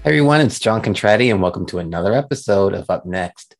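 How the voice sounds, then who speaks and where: A man's voice: fairly low pitch, expressive, fast talker, creaky, music in the background. A man hosting an interview show, introducing his guest and asking questions.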